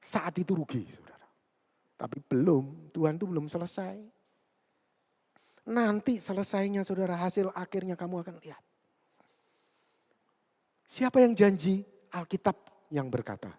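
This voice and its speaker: Male preacher, highly varied intonation, conversational sermon delivery with emphatic repetition, long hall reverb. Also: expressive, measured pace, pauses often, mellow. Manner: conversational, repeats key words and phrases for emphasis. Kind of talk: sermon addressed to a congregation, with personal anecdotes.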